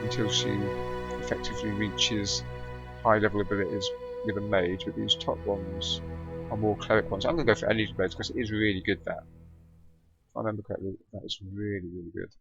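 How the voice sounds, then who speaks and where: A man's voice: fairly low pitch, steady tone, brisk, vocal fry. A man giving casual gameplay commentary as a let's play host, thinking aloud. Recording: loud game music behind voice. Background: game music and effects.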